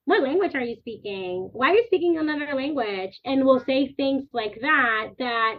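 A woman's voice: high voice, moderate pace. Earnest Dominican-born woman on a tinny line. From Dominican Republic. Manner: earnest, animated, talks in long chains of clauses. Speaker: guest. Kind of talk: podcast interview.

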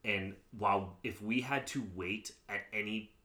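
A man's voice: lowish voice, measured pace, sharp tone. A man chatting casually on a podcast, explaining things in a conversational way.

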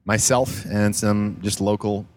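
A comedian talking while he drives, in a dry, deliberate manner with frequent pauses. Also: fairly low pitch, fairly even intonation, a little mellow. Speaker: comedian guest. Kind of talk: casual chat. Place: driving a car.

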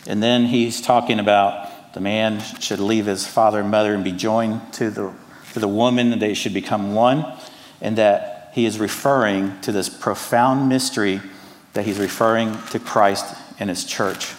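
A middle-aged man from Cuba, raised in the American South, with a Southern accent, preaching an earnest and personal sermon, often pausing on "uh". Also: on the low side, fairly even intonation, big-room sound, slight background noise. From Cuba, raised in Atlanta. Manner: earnest, personal, with frequent "uh" fillers. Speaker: pastor. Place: church sanctuary.

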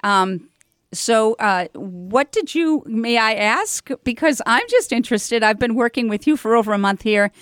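A woman's voice: a little high, expressive, measured pace, smooth, no room sound, studio quality. A woman hosting a live community radio show in an informal, rambling, effusive way, ad-libbing as she goes.

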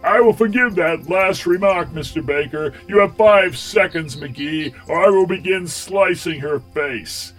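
A man's voice: high-pitched, a little slow, few pauses, fairly bright tone, faint music behind. A man voicing a smug, cruel cult tyrant in an audiobook, speaking in a pompous, commanding and mockingly formal way. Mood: cold, gloating. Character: menacing male cult tyrant, smugly cruel, imperious. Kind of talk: theatrical audiobook villain.

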